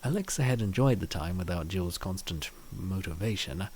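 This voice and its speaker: Low-pitched, lively male story narrator. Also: moderate pace, slight background noise. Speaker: narrator of a comic dub.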